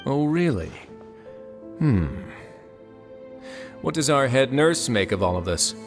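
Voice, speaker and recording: A composed prison warden with a mid-pitched, even voice and dry wit. He speaks in measured, unhurried sentences, with faint game music behind. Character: calm authoritative male warden. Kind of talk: video game voice acting.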